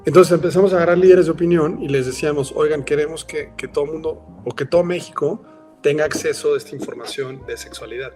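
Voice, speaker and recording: A man's voice: fairly high pitch, fast talker, a little mellow, soft background music. A man with a Mexican accent, a company founder speaking as a guest in a casual interview, rambling with lots of filler words. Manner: rambling, frequent "este" fillers.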